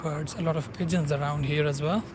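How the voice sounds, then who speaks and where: A man from Punjab in Pakistan with a Pakistani accent, narrating a walking tour by a river in a halting way with many fillers. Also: a little high, fairly even intonation. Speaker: vlogger. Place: outdoors by a river. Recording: loud outdoor noise. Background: loud outdoor ambience with traffic.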